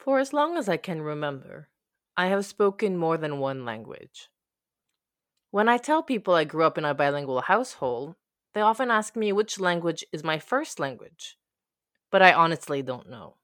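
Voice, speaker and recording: A woman's voice: deep voice, sing-song, moderate pace, fairly bright tone, no echo, studio quality. A young woman from Quebec whose first language is French, reading her own reflective personal story. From Quebec, Canada. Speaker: narrator of a personal audio piece. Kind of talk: scripted personal narration.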